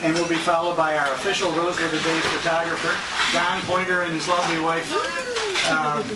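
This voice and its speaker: A man's voice: on the high side, steady tone, brisk. A man speaking informally as emcee to banquet guests, jovial and folksy, with some hesitation, recorded from a distance on a handheld camera in a restaurant. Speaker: emcee and radio host. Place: restaurant banquet room, indoors. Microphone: handheld compact camera at a distance. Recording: noisy echoey dining room, distant handheld camera mic. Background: loud diners, clattering dishes and cutlery.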